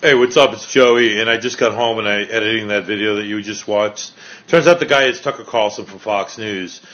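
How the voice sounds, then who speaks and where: A man's voice: lowish voice, fairly quick, fairly bright tone. A man with a New York accent, chatting loosely with strangers and recapping on video. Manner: chatty, loose, rambling with fillers. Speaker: street video creator. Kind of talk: casual street chat and vlog recap. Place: outdoors in a city park. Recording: dull lo-fi street recording.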